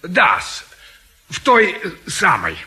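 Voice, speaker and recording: Stolid servant: terse and dutiful, very sharp tone, slow delivery with wildly swinging pitch. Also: male voice, wildly swooping pitch, exaggerated voice. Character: obedient, laconic manservant to a gentleman. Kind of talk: theatrical Russian classic comedy audio play.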